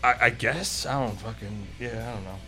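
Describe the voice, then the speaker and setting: A man's voice: fairly low pitch, normal speed, sharp tone, faint music behind. A man with a Brooklyn accent, a drummer guest, talking in an easygoing, hesitant way. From Brooklyn, New York. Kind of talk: casual interview chat.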